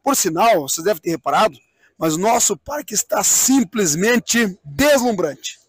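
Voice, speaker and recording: A man's voice: high-pitched, animated, moderate pace, breathy edge, no room sound, clean studio sound. A middle-aged man explaining things in a live interview, repeating phrases and posing rhetorical questions. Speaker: event president being interviewed. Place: outdoors on a wooden swing in a park.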